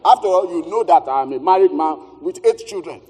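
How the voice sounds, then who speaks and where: A man's voice: fairly high pitch, animated, brisk, dark tone, slight background noise, natural voice. An actor with a West African accent playing a blustering, sweet-talking married man and shady dealer in a theatrical stage comedy-drama. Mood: insistent. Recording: echoey theatre hall, distant stage sound.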